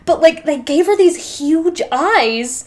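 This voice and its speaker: A woman's voice: very high-pitched, lively intonation, slow. A woman co-hosting a podcast, chatting casually and reacting in informal, slangy language. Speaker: podcast co-host.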